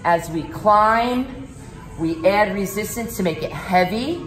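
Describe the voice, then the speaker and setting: A middle-aged woman, a cycling instructor, explaining bike setup in a brisk, matter-of-fact way. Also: mid-range voice, expressive, fairly bright tone. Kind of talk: casual demonstration. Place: large gym cycling studio, indoors. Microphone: handheld phone camera. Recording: echoey studio room with music. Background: music playing.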